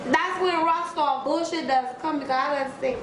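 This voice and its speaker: Trinidadian lady telling a story, laughs a lot, swears, high-ish voice, echoey noisy room. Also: moderate pace. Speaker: guest musician. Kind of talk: casual interview chat. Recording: echoey room with background noise.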